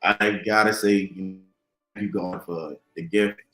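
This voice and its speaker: A man from the Bahamas, a guest talking unhurriedly about his music, with many ums and pauses. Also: low voice, steady tone, a bit bright. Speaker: DJ and drummer, interview guest. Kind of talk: relaxed conversational interview. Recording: echoey room.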